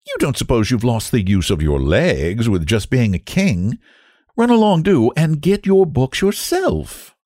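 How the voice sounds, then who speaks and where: A man voicing a brisk, scolding, no-nonsense nursemaid in a storybook. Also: mid-range voice, wildly swooping pitch, darkish tone, no echo, clean studio sound, pitched above the performer's own voice.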